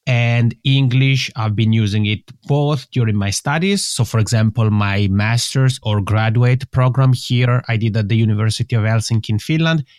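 A man's voice: mid-range voice, normal speed, hardly any pauses, a little mellow, no echo. Swiss Italian man, dry close sound. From Italian-speaking Switzerland. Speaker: podcast guest. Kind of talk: conversational interview answers.

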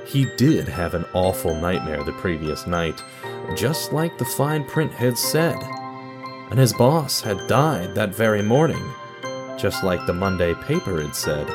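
Male narrator for radio-style drama, lower register, scripted storytelling with music behind. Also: lively intonation, a little slow. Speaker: narrator and announcer.

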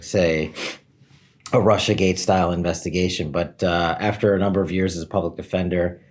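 A man's voice: low-pitched, darkish tone. A man in his forties, a guest in an interview, telling his career story in a candid, self-deprecating way and laughing at how his plans turned out. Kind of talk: relaxed conversational storytelling.

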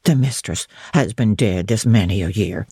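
A woman's voice: very deep voice, normal speed, dark tone, husky, no echo, studio quality, voice pushed down, heavily acted. A woman voicing a plain-spoken, homely old servant woman with a Northern English dialect, in a dramatic audiobook reading. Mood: matter-of-fact.

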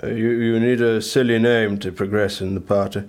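An actor playing an earnest, silly leader of a fringe party in an audio comedy drama, deadpan and slightly hesitant. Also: male voice, fairly low pitch, moderate pace, close to an everyday voice.

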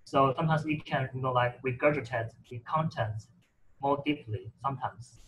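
A man's voice: medium pitch, moderate pace, a bit bright, slight background noise, poor audio. A man whose first language is Mandarin, talking casually in English with many fillers and restarts. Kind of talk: casual conversation about reading.

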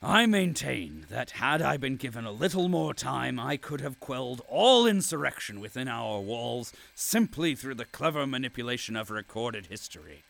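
A man's voice: mid-range voice, big pitch swings, moderate pace, gravelly, hardly any pauses, a bit bright. A man playing a pompous, zealous bureaucrat who delivers florid, self-satisfied narration in audio drama style. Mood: smug, grandiose. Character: pompous, zealous male propaganda bureaucrat narrating his chronicle. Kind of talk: theatrical scripted narration.

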